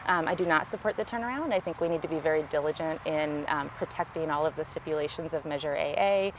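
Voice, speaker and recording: Casting: Californian woman, low voice, measured explanatory interviewee, local candidate type, recorded outdoors over faint background noise. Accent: Californian American. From California, United States. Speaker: city council candidate, interviewee. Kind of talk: conversational political interview answers. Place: outdoors in a park.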